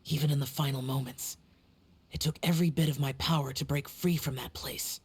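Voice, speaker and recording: A woman voicing a solemn young male warrior guardian in a video game, speaking in a grave, measured, weary way. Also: very deep voice, steady tone, slightly rough, full character voice.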